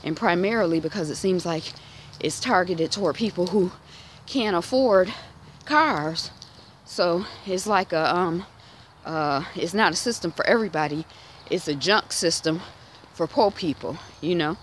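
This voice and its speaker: A woman's voice: on the low side, unhurried. A woman in her thirties talks casually into a phone held at arm's length while walking down a residential street, musing aloud with many fillers and restarts. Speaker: vlogger. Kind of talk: casual walk-and-talk monologue. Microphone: phone camera at arm's length. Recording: outdoor street noise behind.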